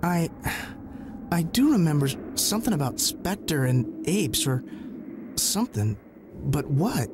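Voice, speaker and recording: A woman voicing a dazed, loyal boy sidekick in a video game, halting and groggy. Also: low voice, big pitch swings, background noise, heavily acted. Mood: confused, groggy. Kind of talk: cartoonish video game voice acting.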